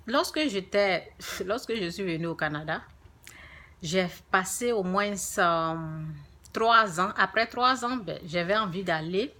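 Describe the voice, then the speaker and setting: Female religious vlogger, francophone African accent. Bright, forceful voice, unhurried delivery, emphatic repetition. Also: on the low side. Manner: emphatic, repeats phrases for stress. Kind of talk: informal spiritual talk to viewers.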